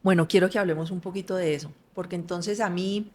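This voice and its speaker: A woman podcast host interviewing a guest, asking calm, gentle follow-up questions. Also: on the low side, quick pace.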